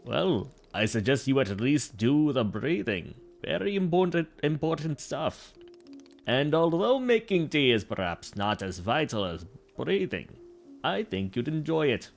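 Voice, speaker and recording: A courteous male tea shopkeeper in a video game, coaxing softly in a thick Russian accent, as a man reads him. He speaks slowly and gently, with a lively, rising and falling pitch. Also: gravelly, soft background music. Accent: put-on Russian. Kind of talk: reading game dialogue aloud.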